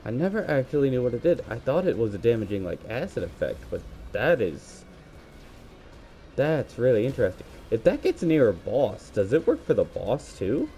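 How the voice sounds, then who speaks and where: A man's voice: medium pitch, very animated, normal speed, dark tone, soft background music. A man with a scratchy voice giving rambling play-by-play commentary while gaming, talking into a headset. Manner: rambling, self-correcting play-by-play. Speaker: gaming video creator.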